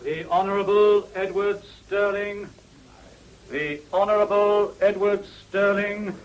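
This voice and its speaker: An actor playing a deferential, flustered parliamentary attendant, speaking hesitantly in a radio drama. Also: male voice, high-pitched, fairly even intonation, slow, low-quality recording.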